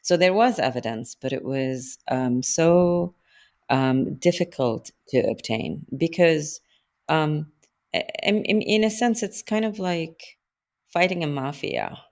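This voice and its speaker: A woman's voice: low-pitched, slow, a little mellow. A woman guest analyst in a podcast interview, explaining at length in a thoughtful way.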